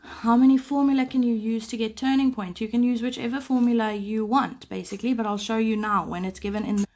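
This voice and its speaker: A woman who is sick teaches a live maths lesson, explaining patiently and clearing her throat often. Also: on the high side, steady tone, fast, a little mellow. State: sick, voice a bit off. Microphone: phone camera over paper.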